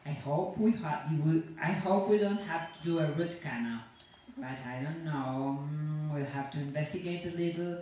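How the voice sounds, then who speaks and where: A woman's voice: sits low, normal speed, mellow, natural voice. A woman voicing a chatty, grumbling, blithely menacing dentist in rambling, hesitant English, as a story monologue. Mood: soothing, fussy. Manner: rambling, falsely reassuring, hesitant non-native English. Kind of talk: dramatic monologue read from a short story. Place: large indoor venue at a university. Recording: echoey, distant, noisy live room.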